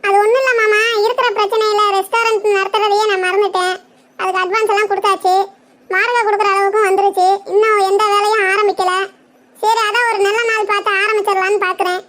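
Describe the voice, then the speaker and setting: A woman's voice: very high voice, little pitch movement, fast, fairly bright tone. A woman voicing a polite, determined housewife and mother in a cartoon parody, speaking respectfully and reassuringly. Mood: earnest, respectful.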